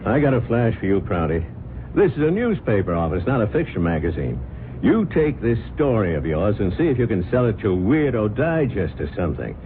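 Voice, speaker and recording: An actor playing a gruff, impatient male newspaper editor scoffing at his reporter in an old radio drama. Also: fairly low pitch, sing-song, fairly quick, a little hiss or hum. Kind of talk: 1970s radio drama acting. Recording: tinny lo-fi old radio broadcast.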